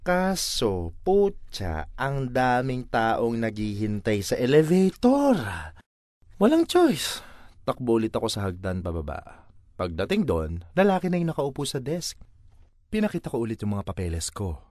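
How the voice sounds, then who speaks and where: A man with a Filipino accent performs a comic monologue. His pitch is mid-range and swings very widely, with theatrical exasperation. The recording is dry and close. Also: wildly swooping pitch, unhurried, darkish tone, no room sound. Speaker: monologue reader. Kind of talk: dramatic comic monologue reading.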